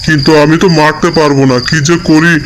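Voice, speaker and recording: A man voicing a scheming, murderous sorcerer in a cartoon folk tale, muttering plots in a hurried, conspiratorial way. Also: a little high, little pitch movement, noisy background, close to an everyday voice. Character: scheming male sorcerer plotting to kill a fairy.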